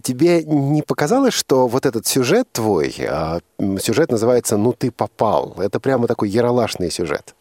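A man's voice: mid-range voice, sing-song, moderate pace, few pauses, darkish tone, dry recording. A man hosting a radio show from a studio, asking probing questions in a conversational style and rephrasing as he goes. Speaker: radio host and interviewer.

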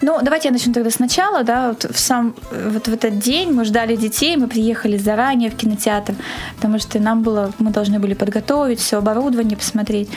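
High-voiced woman telling a story, music behind. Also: quick pace, darkish tone. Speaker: interviewed event organizer. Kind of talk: casual spoken interview recollection.

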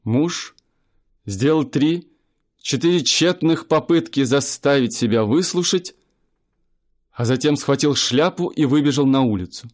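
Brief: male narrator, mid-pitched, measured storytelling for a radio play. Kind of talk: scripted literary narration.

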